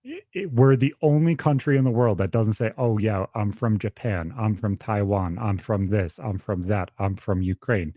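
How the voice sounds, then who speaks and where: A man's voice: lowish voice, normal speed, few pauses, mellow, no echo, highs cut off. A young man co-hosting a podcast over a remote call, talking bluntly and swearing a lot. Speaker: podcast co-host. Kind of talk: casual political chat over a call. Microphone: remote call with dropouts.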